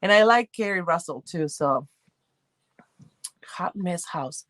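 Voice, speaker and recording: A chatty woman with a light Argentine accent hosts a relaxed livestream, rambling from one thought to the next as she reads and answers comments. Her voice sits a little low for a woman, and the sound is dry and close with no room echo. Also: slow. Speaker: livestream host. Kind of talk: casual livestream chat with viewers.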